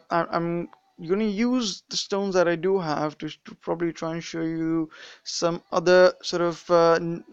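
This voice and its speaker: A young man streaming a mobile game, his accent a mix of British and Indian. He rambles through the menus with plenty of ums and restarts, in a smooth, clean voice. The sound is dry but a little dull, like a modest home mic. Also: high voice, no echo. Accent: mixed British and Indian. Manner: rambling, frequent fillers and restarts. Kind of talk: casual live-stream commentary.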